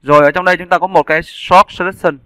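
A man with a clear, bright voice pitched a little high, teaching in a casual tutorial style. He explains each step informally and often checks that his listeners are following. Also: fairly even intonation, fast, no room sound. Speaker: 3D animation instructor. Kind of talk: casual software tutorial narration. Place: indoors, screen-recording voice-over.

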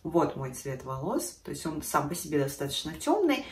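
A woman in her thirties chats to camera in her living room with a slightly stuffy, congested voice and a lowish pitch. The room sounds echoey, with a faint hiss underneath. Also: very animated, moderate pace, darkish tone, far from the mic. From Russia. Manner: chatty, conversational, shows products on camera. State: stuffy nose from a cold. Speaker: beauty vlogger. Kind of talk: casual chat to camera.

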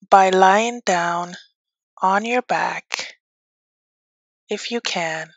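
A woman teaching yoga nidra as a guided meditation, speaking gently and soothingly with long pauses. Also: mid-range voice, no echo.

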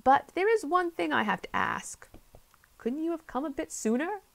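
A woman's voice: high voice, sing-song, normal speed, creaky, voice pushed up, heavily acted. A woman voicing a polite, old-fashioned old male traveler with theatrical, formal exclamations.

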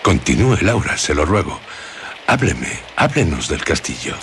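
An actor playing a grave, courteous gentleman who asks solemn, polite questions in a radio drama. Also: very deep voice, sing-song, unhurried, slight background noise, exaggerated voice. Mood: grave, curious.

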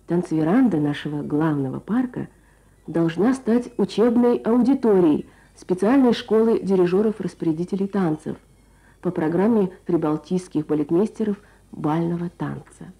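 A woman's voice: fairly low pitch, normal speed, mellow, rough recording. A woman presenting a scripted Soviet radio programme, reading rhetorically.

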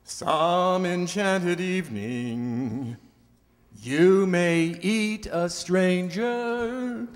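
A man's voice: high voice, extremely slow, soft background music. A man playing a breezy bandleader in a stage radio comedy. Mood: crooning. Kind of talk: old-time radio comedy acting on stage. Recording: echoey hall stage recording.

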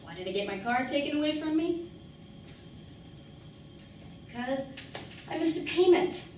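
A woman's voice: high-pitched, expressive, measured pace, a little mellow. A woman performing a dramatic monologue as an embittered, struggling working-class mother, defensive and pleading, with emphatic, self-questioning delivery. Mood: weary, defensive. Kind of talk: dramatic stage monologue acting. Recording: very echoey room, distant mic, faint noise.

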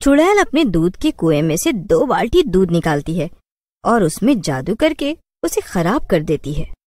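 Lively woman storyteller, lowish voice. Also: normal speed, no echo. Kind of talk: scripted narration of a children's cartoon tale.

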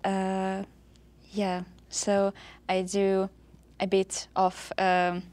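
A young woman from Russia, a student on a panel, speaking hesitantly with many pauses on a lapel mic. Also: medium pitch, monotone, darkish tone. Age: young adult. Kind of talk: casual panel chat.

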